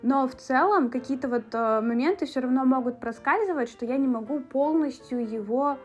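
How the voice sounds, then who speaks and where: A woman's voice: high voice, moderate pace, faint music behind. A young woman from Russia talking in a chatty, casual vlog style, with fillers and asides. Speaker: beauty vlogger.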